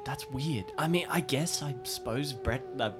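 A man's voice: fairly high pitch, huge pitch swings, moderate pace, over music. A man playing a flustered, defensive and wary male adventurer in improvised audio drama, stammering and hesitant.

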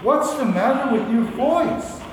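Guy playing nervous baffled 1940s radio scientist, slow halting talk, really echoey. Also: very high voice, animated. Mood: alarmed. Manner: halting, dramatic, incredulous. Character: anxious, bewildered male scientist buddy. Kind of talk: 1940s radio play acting. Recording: very echoey hall, faint noise.

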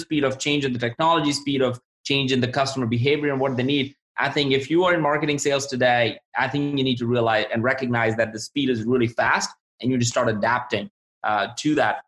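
A man's voice: medium pitch, fairly even intonation, a little fast, fairly bright tone. Enthusiastic male podcast host. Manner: enthusiastic, affirming, summarizes takeaways. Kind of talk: interview wrap-up and summary.

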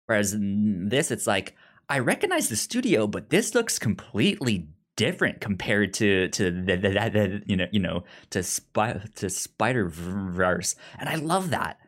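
A man with a pronounced stutter, speaking in a halting but enthusiastic way as a podcast co-host casually discussing films. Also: lowish voice, sing-song. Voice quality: pronounced stutter, repeating sounds and words.

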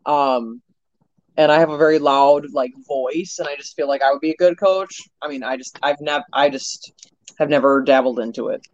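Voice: mid-range voice, normal speed, no room sound, dull audio. A podcast co-host talking casually in an earnest, rambling way. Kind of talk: casual chat.

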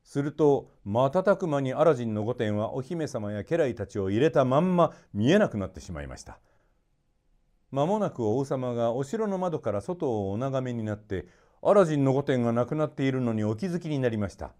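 A man narrating a children's story expressively, in a polite and measured storytelling manner. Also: medium pitch, big pitch swings, a little mellow.

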